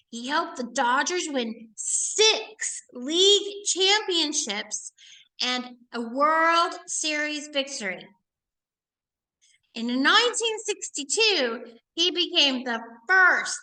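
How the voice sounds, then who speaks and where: A woman reading a children's book aloud in an expressive, emphatic teaching manner with casual asides. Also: sits high, low-quality recording.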